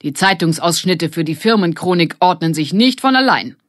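A woman's voice: lowish voice, lively intonation, moderate pace, no room sound. A stern old grandpa in a children's audiobook, voiced by a woman. He is formal and a touch pompous but easily taken in, recorded dry.

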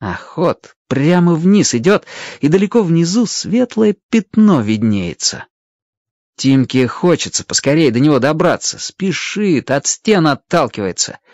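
Animated male storyteller, dry close sound. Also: on the high side, a little slow, dry recording, muffled recording. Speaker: narrator. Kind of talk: expressive scripted children's story narration.

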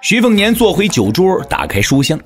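A man narrating an audio drama as scripted storytelling, in a measured, theatrical manner. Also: high-pitched, wildly swooping pitch, soft background music.

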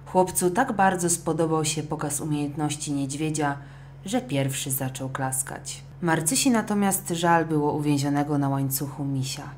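A woman narrating a scripted children's audio story in an expressive, dramatic way. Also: sits low, moderate pace, a little hiss or hum.

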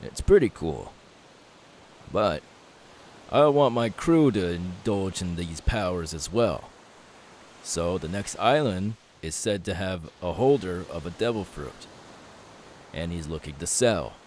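A young pirate captain, acted out by a man in an audio roleplay, confident and loyal to his crew. His voice is low and swings in a lively way as he reassures without hurry. A faint steady hiss sits behind him. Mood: confident, relaxed. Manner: easygoing, unhurried, reassuring.

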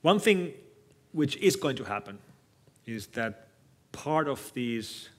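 A middle-aged man whose native language is Finnish, giving a measured, thoughtful keynote talk on stage in a lecture hall, speaking into a headset mic. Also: medium pitch, big pitch swings. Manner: measured, thoughtful, frequent restarts. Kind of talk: conference keynote with audience Q&A.

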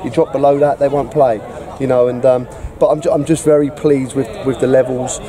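A football manager speaks outdoors after a match, upbeat and determined about standards. His pitch stays flat and even. Crowd sound rises behind him. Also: fairly quick. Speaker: football manager, interview guest. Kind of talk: post-match interview.

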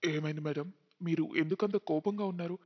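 Guy doing a scheming greedy young cartoon man, theatrical, raspy edge, muffled sound with big reverb. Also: a little high, steady tone, a little fast. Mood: curious. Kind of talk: dramatized Telugu cartoon story dialogue. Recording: muffled, long hall reverb.

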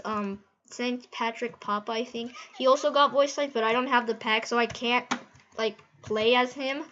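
High-voiced giggly woman, muffled mic. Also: a bit bright. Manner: giggly, rambling, easily amazed. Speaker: gaming video host. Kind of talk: casual gameplay commentary.

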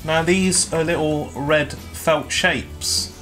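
A man's voice: on the high side, steady tone, slow pace, over music. A man in his thirties co-presenting craft products with playful jokes, filmed in a small stockroom by a camera on the table. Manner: joking, playful asides. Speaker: shop presenter. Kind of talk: casual product showcase chat.

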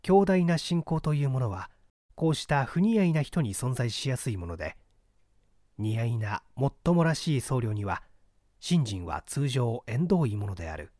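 A man narrates literary mystery fiction like a stage actor. His voice is medium in pitch with lively, wide-moving intonation. The recording is dry, free of room sound. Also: moderate pace, dry recording. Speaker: audiobook reader and stage actor. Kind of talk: dramatic scripted literary narration.

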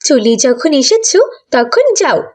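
A woman's voice: high-pitched, sing-song, slow, full character voice. A woman voicing a spiteful, snooty rich little girl in a children's cartoon, scornful and dismissive.

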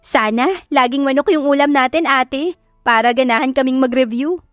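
A woman voicing an excitable, sweet teenage younger sister in an audio drama, eager and exclaiming. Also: high-pitched, normal speed, no room sound, soft background music, voice pushed up, lightly acted. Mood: wistful.

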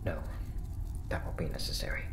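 A man's voice: fairly quick, whispered, close to an everyday voice. A man playing a principled, disgruntled colleague in an audio drama, terse, stern and restrained. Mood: grimly worried. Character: principled, disgruntled male colleague objecting to dangerous experiments. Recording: roomy echo, faint background noise.

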